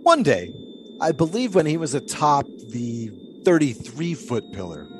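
A man's voice: mid-range voice, natural voice. A man playing an eccentric, devout 1920s pole-sitter in an audio drama, musing in folksy, old-fashioned storytelling phrases. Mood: solemn storytelling.

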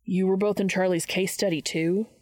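A woman voicing a gruff, suspicious man who mutters and growls firmly in an audiobook story. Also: medium pitch, steady tone, moderate pace, darkish tone, no echo, lightly acted. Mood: confused, firm.

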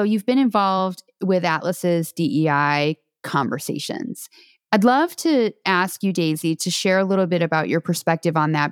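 Host, woman, lowish smooth voice, dry close sound, appreciative. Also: no room sound. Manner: warm, appreciative, asks long framed questions. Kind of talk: interview hosting.

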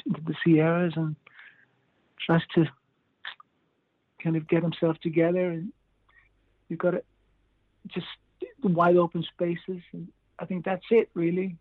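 A male musician chatting as a guest in a relaxed, rambling way, with frequent chuckles. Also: a little high, a little slow, pauses often, no room sound. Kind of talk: casual interview chat. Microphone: phone line. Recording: tinny dry phone line.

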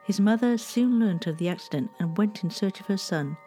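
A woman giving scripted history narration in a measured, gently storytelling way. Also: medium pitch, soft background music.

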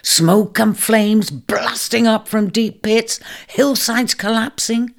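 A swaggering male ram from a storybook, voiced by the reader, raised high and loud above the telling voice. He declares everything with lofty self-importance, a restless wanderer who answers questions like proclamations. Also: very animated, takes it slowly. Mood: boastful. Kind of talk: storybook character voice.

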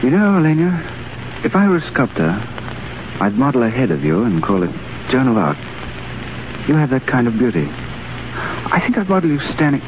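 A man's voice: medium pitch, wildly swooping pitch, moderate pace, pauses often, background noise. An actor playing a restless, romantic English diplomat in 1930s radio drama, suave and wry in his banter. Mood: ardent. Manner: suave, bantering, questioning tags. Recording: tinny 1930s radio broadcast with music.

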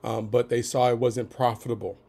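A man who is under the weather, with a raspy voice, asking a careful, halting question in a webinar. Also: medium pitch, level tone, mellow. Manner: halting, many ums, careful phrasing. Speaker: audience member asking a question.

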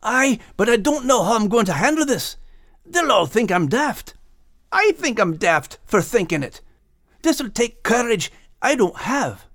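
A man's voice: very high voice, very animated, a little slow, a bit bright, dry recording, clean studio sound, pitched above the performer's own voice, full character voice. A man voicing a brave but self-doubting male dog with a Scottish accent for an audiobook. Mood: excited, worried.

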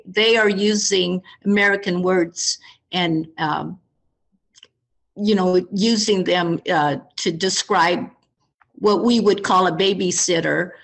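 A woman's voice: mid-range voice, gravelly, a bit bright. A woman on a conference panel who shares anecdotes in a chatty way. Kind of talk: panel discussion.

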